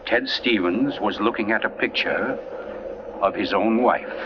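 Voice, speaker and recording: An actor playing an urbane crime writer who tells a mystery with dramatic pauses, in 1940s radio style. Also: male voice, fairly low pitch, heavy background noise, natural voice. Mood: dramatic, suspenseful. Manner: suave, dramatic, savoring pauses. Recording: muffled vintage radio broadcast with music.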